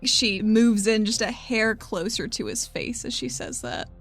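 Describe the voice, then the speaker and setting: Lady player in an rpg session, slightly high voice, casual, narrating her character, quiet music behind. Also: animated, a little slow. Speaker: tabletop game player. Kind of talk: casual roleplay, describing her character's actions.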